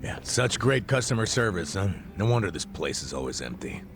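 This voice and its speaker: An actor with an American accent playing a gruff, boisterous, good-humored older ex-cop in a video game, with brash, colloquial delivery. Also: male voice, low-pitched, big pitch swings, fairly quick, music in the background. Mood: gruff banter. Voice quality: gravelly. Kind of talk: dubbed video game cutscene acting.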